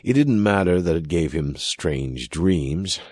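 Very deep, husky male story narrator. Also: lively intonation, takes it slowly, darkish tone, dry recording, studio quality. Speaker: audiobook narrator. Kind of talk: scripted dramatic fiction narration.

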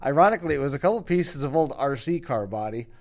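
A man's voice: medium pitch, expressive, fast, darkish tone. Man telling a rambling home-repair story. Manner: rambling homeowner storytelling, many restarts. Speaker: podcast co-host. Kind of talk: casual podcast chat.

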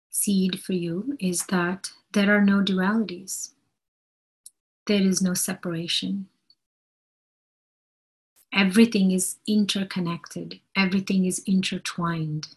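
Woman, spiritual teacher type. Breathy, husky tone, unhurried delivery, earnest and deliberate, leaning on repetition. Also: medium pitch, poor audio. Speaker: spiritual teacher giving a talk. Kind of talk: slow inspirational spiritual talk.

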